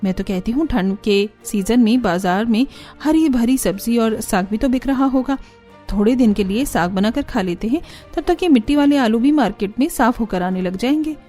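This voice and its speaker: Woman voicing a high-pitched, fed-up young daughter-in-law. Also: lively intonation, moderate pace, mellow, lightly acted. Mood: defensive excuses. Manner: complaining, theatrical, sarcastic. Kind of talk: Hindi cartoon family-drama voice acting. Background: background music.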